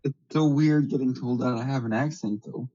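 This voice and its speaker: A man's voice: mid-range voice, fairly even intonation, fairly quick, warm tone. A man with a Canadian accent chatting casually, rambling and hesitant, often playing himself down. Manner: rambling, hesitant, self-deprecating. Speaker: guest. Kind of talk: casual online chat. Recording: slightly dull lo-fi audio.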